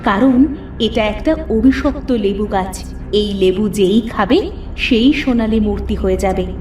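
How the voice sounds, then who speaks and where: A woman voicing a kindly, helpful talking magic lemon in a cartoon fairy tale, gentle and explanatory. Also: medium pitch, a little slow, roomy sound, recorded from a distance, faint music behind, natural voice. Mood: gentle warning.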